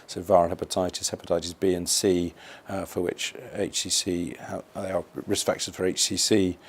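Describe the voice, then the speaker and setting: A man with a very deep voice and a lot of vocal fry explains things as a hospital specialist. His delivery is measured and quite flat, with a husky edge. It sounds like an interview for an educational film.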